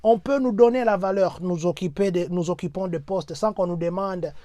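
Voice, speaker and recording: A middle-aged Congolese man speaks French with a Congolese accent and slips into Lingala as he exhorts his listeners. His voice sits a little high for a man and sounds dry and close. He drives his points home with repeated, building rallying lines. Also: moderate pace, dark tone, no echo. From Democratic Republic of the Congo. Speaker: community speaker addressing compatriots. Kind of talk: motivational civic address. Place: United States.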